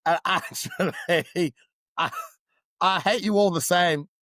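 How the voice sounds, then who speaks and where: A man in his thirties from Birmingham with a husky, smoky voice, chatting as a podcast guest in a camp, teasing way. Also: high-pitched, slow, pushed and bright, dry recording. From Birmingham, England. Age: 30s. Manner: camp, playful, teasing, says "darling". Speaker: guest, drag performer. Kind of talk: casual podcast chat.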